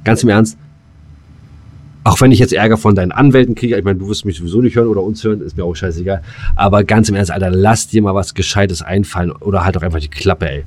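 Deep-voiced man, blunt podcast banter. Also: quick pace, a little mellow, no echo. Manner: blunt, slangy, ranting. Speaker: podcast co-host.

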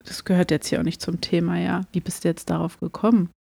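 A woman co-hosting a podcast, explaining things in a casual, halting, self-correcting way. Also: on the low side, flat delivery, creaky, mellow, slightly rough, no echo. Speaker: podcast co-host.

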